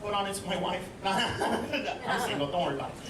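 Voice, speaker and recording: A man from East Los Angeles tells a stand-up story in a chatty, rambling way, often turning to the crowd. He sounds distant in an echoey live room. Heavy crowd laughter and noise sit behind him. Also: sits high. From East Los Angeles, USA. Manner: chatty storytelling, many restarts and asides to the crowd. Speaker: stand-up comedian. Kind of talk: stand-up comedy set. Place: comedy stage on a cruise ship. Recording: echoey live venue from far off. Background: loud audience laughter and noise.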